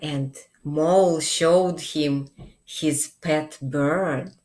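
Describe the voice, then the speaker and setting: A middle-aged woman reads a picture book at storytime in a low voice. She goes very slowly and addresses young children gently and expressively. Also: steady tone. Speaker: children's librarian. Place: small indoor room.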